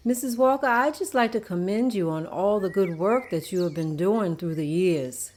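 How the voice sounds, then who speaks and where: A woman's voice: medium pitch, moderate pace, darkish tone, close to an everyday voice. A woman reading the part of a kind, admiring neighbor in a table read, earnest and respectful.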